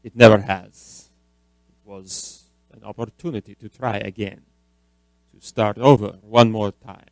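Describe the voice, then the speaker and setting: An actor in a radio drama playing a weary, secretive, centuries-old immortal man who speaks in formal, measured, eloquent phrases. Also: fairly low pitch, fairly even intonation, no echo, rough recording. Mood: resigned, grave. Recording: orchestral film music underneath.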